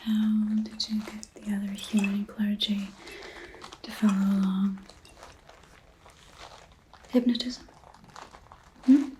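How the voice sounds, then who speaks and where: A woman playing a menacing, scornful vampire hunter in an ASMR roleplay, whispering slowly and softly, close to the microphone, as she questions a captive. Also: medium pitch, steady tone, a little hiss or hum, close to an everyday voice, poor audio. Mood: cold, probing. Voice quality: soft, breathy whisper. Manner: slow, deliberate, mocking questioning.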